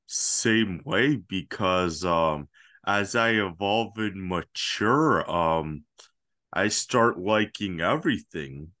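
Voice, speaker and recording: Young man, lowish bright voice, slow hesitant questions, dry close sound. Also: animated, no room sound. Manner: hesitant, many fillers. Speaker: interviewer. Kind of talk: reading questions on video call.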